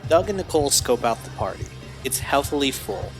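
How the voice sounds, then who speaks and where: A man's voice: mid-range voice, sing-song, a little fast. A man reading scripted scene narration for an audio drama, describing the action as narrator. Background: music.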